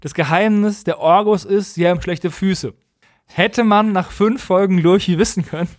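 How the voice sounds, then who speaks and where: A man's voice: high voice, normal speed, dry recording, studio quality. A man recapping stories as a podcast co-host in a colloquial, humorous, mockingly opinionated way. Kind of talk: casual humorous episode recap.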